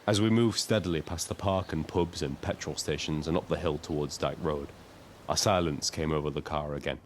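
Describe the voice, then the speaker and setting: Low-voiced, lonely taxi driver. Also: male voice, steady tone, a little fast, a little hiss or hum. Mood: reflective narration. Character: lonely, nervous, kindly Brighton taxi driver narrating his story. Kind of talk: audio drama narration and dialogue.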